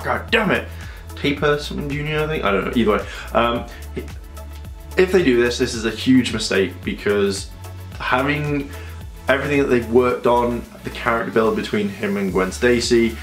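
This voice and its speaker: A man with a head cold, talking casually to a camera on a tripod in a rambling, animated way, breaking into sudden high-pitched exclamations. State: congested from a cold. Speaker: vlogger. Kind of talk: casual opinion vlog to camera. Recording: echoey room with faint music.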